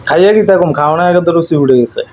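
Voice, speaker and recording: A man's voice: fairly high pitch, rapid-fire, darkish tone, a little hiss or hum. A man on a phone video call, giving short, grumbling replies in casual chat.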